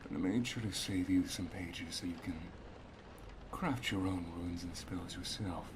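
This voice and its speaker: Over clear background noise comes a low-pitched male wizard master , acted by a man, speaking softly and intimately. He is a doting, gently teasing mentor and boyfriend in an ASMR roleplay, measured in his delivery. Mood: gentle, proud.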